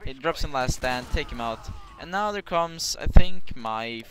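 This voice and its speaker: A man's voice: mid-range voice, lively intonation, unhurried. A young man with a Norwegian accent giving casual, rambling commentary over his gameplay, swearing now and then. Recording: clear background hiss.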